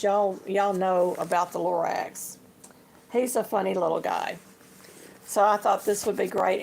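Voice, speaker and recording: A chatty older woman with a drawl hosts a homey livestream. Her voice has a gravelly edge and a slight morning hoarseness. A faint hiss sits under the recording. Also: mid-range voice, darkish tone. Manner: chatty, homey, addresses viewers as y'all. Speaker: livestream host. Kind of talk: casual livestream show-and-tell.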